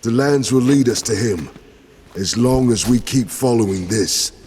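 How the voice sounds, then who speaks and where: A man acting in an audio drama as a steady, knowing guide and loyal companion, deliberate and reassuring. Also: medium pitch, close to an everyday voice. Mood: assured. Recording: long reverb, faint ambient noise.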